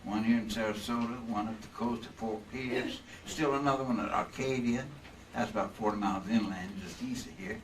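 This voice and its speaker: A man's voice: medium pitch, a little fast, close to an everyday voice. Guy doing folksy bossy insurance man in an old radio play, repeats himself, bit far from mic, some noise. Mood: genial, explanatory. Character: male insurance man Earl, hospitable, excitable, bossy husband. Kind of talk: old-time radio drama re-creation on stage. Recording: distant stage mics, some room noise.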